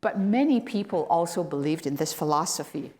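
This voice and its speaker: A woman's voice: on the low side, expressive, fairly quick, a little mellow. A middle-aged woman from Malaysia giving an academic lecture as a guest speaker. She explains steadily and lifts her voice to stress key words. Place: lecture hall.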